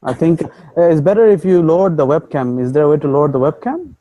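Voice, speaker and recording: A man's voice: fairly high pitch, expressive, quick pace, dark tone, no room sound. A young man from Saudi Arabia on a video call, talking hesitantly with many fillers. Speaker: new business owner.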